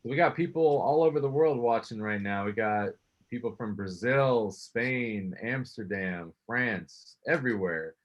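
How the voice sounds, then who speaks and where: A man's voice: medium pitch, unhurried, muffled recording. A man co-hosting a live interview, greeting viewers and reading out their questions in an upbeat way. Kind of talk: live video conversation.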